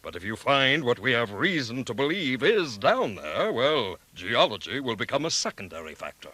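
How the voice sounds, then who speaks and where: A man's voice: medium pitch, very animated, normal speed, bright, forceful tone, dry recording. An actor playing an authoritative, formal male mission director in a 1970s audio drama, speaking with weight. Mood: grave, formal. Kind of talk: 1970s full-cast audio drama acting.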